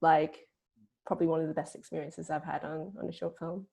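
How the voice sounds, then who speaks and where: Woman, producer, panel guest, casual loose phrasing, mellow tone, slightly low pitch. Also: level tone, a little fast, vocal fry. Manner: informal, hedging with frequent "like".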